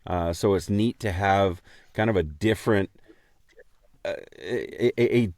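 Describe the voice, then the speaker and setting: A man with a low voice chats as a podcast co-host, recorded close with very little room sound. He rambles casually and pokes fun at himself in a playful, joking way. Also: measured pace, darkish tone, dry recording. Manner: rambling, self-deprecating, playful.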